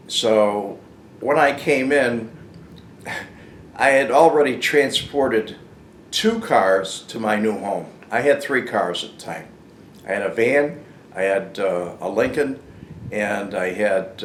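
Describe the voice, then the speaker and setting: An older man telling a casual, unscripted story in a halting, rambling, plain-spoken way. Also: fairly low pitch. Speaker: storyteller, former informant hosting his own channel. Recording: echoey room with faint background noise.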